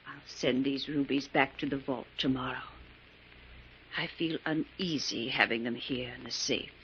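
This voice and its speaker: An actress playing a wealthy, stern but caring older aunt in an old-time radio drama, speaking in a genteel, formal way. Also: female voice, a bass voice, steady tone, moderate pace. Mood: uneasy. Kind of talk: 1940s radio drama acting. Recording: muffled, noisy old radio recording.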